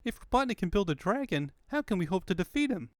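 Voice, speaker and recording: A man's voice: high voice, big pitch swings, fast, no echo, studio quality. A man voicing an earnest, anxious boy fox sidekick who muses and questions, in a comic book fandub. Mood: alarmed. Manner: questioning, musing.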